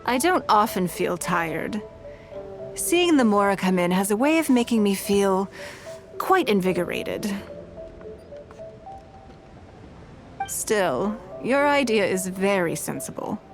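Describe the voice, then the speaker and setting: Refined wealthy businesswoman, video game character, mid-range pitch, poised, measured, articulate, courteous and shrewd, music behind. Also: sing-song. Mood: composed, pleasant. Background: background music.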